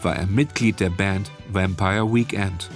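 A man's voice: low-pitched, steady tone, measured pace. A man narrating a scripted radio trailer. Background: guitar music.

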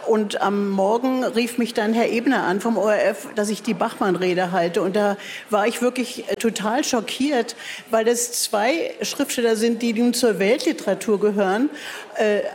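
A woman's voice: on the high side, measured pace, darkish tone. An older woman from East Germany with a Berlin accent, a writer answering interview questions in a laconic, self-mocking way, full of fillers and restarts. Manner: hesitant, many fillers and restarts. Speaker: writer and guest speaker.

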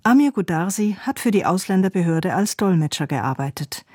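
A woman giving scripted narration for a radio feature in an even, informative way. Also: fairly low pitch, moderate pace, breathy edge, dry recording, clean studio sound.

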